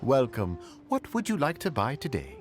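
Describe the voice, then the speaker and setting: A friendly middle-aged fishmonger, chatty and folksy. His pitch swings widely as he talks. Faint game music underneath. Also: wildly swooping pitch, moderate pace.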